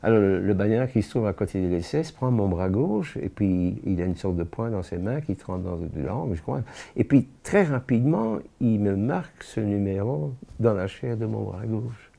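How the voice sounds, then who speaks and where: A man, giving a personal testimony in a grave, measured way with frequent pauses and restarts. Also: lowish voice, lively intonation, creaky, dark tone. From born in France. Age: 80s.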